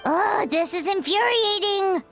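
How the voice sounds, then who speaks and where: Game casting: tiny cheeky fairy sidekick, childlike squeak, piercingly bright, swooping delivery over background music. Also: female voice, expressive, measured pace, heavily acted. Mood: frustrated. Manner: exclamatory, chatty. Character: small childlike floating fairy companion, excitable and cheeky. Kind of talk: video game voice acting.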